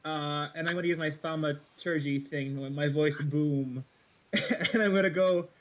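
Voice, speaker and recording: A man's voice: a little high, fairly even intonation, a little slow. A man chatting casually as a player in an online tabletop roleplaying game. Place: home room, online video call. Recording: noisy video call, clicks.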